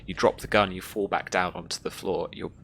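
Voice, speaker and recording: A woman narrating a tabletop roleplaying game as game master, reading out rules and thinking aloud in a halting way. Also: rumbling low, fairly even intonation, a bit bright, slight background noise.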